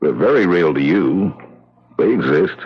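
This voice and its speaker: Probing psychiatrist, extremely deep gravelly voice, formal and measured, vintage radio drama, dull sound. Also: male voice, animated, heavily acted. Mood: calm, probing. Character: probing, unruffled male psychiatrist. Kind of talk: old-time radio drama acting.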